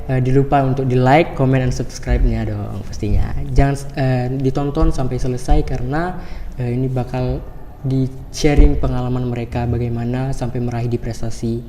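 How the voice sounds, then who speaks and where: A man's voice: medium pitch, dark tone, slight background noise. A teenage boy hosting a school podcast, upbeat and casual with many fillers. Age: teens. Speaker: podcast host. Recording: reverberant room.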